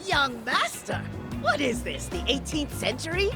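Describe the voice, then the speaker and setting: An actress voicing a sharp-tongued, teasing, streetwise woman in a video game dub. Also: high-pitched, huge pitch swings, measured pace, loud music behind the voice, full character voice. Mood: mocking. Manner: teasing, sardonic. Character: sharp-tongued, mocking, streetwise woman needling a young gangster.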